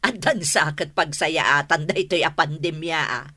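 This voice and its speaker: Slow, bright-voiced Filipino aunt. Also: female voice, deep voice, lively intonation, no room sound, exaggerated voice. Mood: amused, reassuring. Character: chatty, reassuring aunt, older than the wife. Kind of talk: Filipino radio comedy-drama acting.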